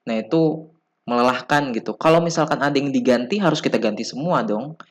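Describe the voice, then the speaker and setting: A man with an Indonesian accent giving an informal coding tutorial as an instructor, explaining haltingly, step by step. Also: medium pitch. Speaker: programming instructor.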